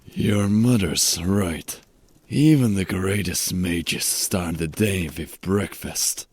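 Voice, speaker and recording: An actor playing a gentle, gruff, wry father in a fantasy audio drama, weary and teasing. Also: sits low, slow pace, dry recording, exaggerated voice. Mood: gently teasing.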